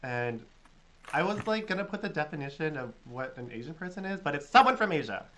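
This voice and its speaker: Host from California, mid pitch, talks casually with lots of ums over a video call. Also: sing-song, fairly quick, fairly bright tone, a little hiss or hum, low-quality recording. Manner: chatty, filler-heavy, upbeat. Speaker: panel host. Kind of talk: casual online panel chat.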